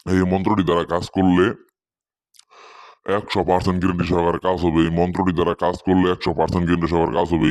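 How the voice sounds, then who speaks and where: A man hosting as a self-styled guru, delivering a scripted promotional pitch to viewers in an emphatic, repetitive way. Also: darkish tone, dry recording. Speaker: channel host, self-styled tantric guru.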